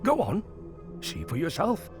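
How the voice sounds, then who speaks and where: Theatrical sly trickster, wildly swooping voice. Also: male voice, a little high, wildly swooping pitch, a little slow, over music. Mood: conspiratorial. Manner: wheedling, archaic, theatrical phrasing. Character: sly, theatrical male storyteller and fortune-peddling trickster. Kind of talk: video game cutscene acting.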